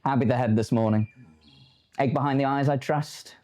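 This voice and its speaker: A British man playing a haughty Victorian gentleman. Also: medium pitch, steady tone, moderate pace, lightly acted. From United Kingdom. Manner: formal, clipped and haughty. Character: stiff, proud upper-class Victorian gentleman. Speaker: actor.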